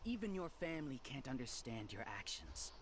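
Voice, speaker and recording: A man voicing a persuasive, smooth-talking researcher in an anime game, theatrical and insinuating. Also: medium pitch, sing-song, fairly quick, a bit bright, a little hiss or hum.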